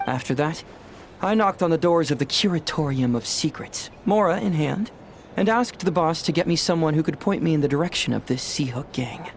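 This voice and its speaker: An actor playing a cheerful young male detective in a fantasy game, chatty, theatrical and courteous. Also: on the high side. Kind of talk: animated game voice acting.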